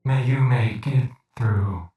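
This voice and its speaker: A man voicing a weary, gentle fallen robot in a tabletop game, speaking formally and haltingly in a hushed voice. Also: mid-range voice, roomy sound, far from the mic, close to an everyday voice. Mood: weary resignation.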